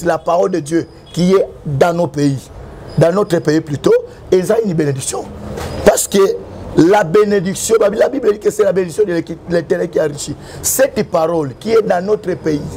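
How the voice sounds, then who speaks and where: A man's voice: high-pitched, mellow, a little hiss or hum. A young man from Congo speaking French with a Congolese accent, a prophet who preaches fervently in an interview, mixing in Lingala and sometimes half-singing. He is recorded outdoors under cover on a lapel mic. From Congo (DRC). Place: covered outdoor terrace, tiled wall.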